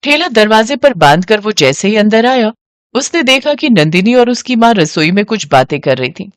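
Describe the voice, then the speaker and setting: A woman's voice: medium pitch, very animated, normal speed, dry recording, studio quality. A woman reading scripted narration for a moral story.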